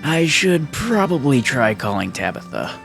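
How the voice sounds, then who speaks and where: A man plays a young male protagonist in a visual novel. He is mild and a little hesitant, with a middling pitch. Faint music sits behind his lines. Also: very animated, a little slow, creaky. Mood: thoughtful. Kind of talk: visual novel fan dub.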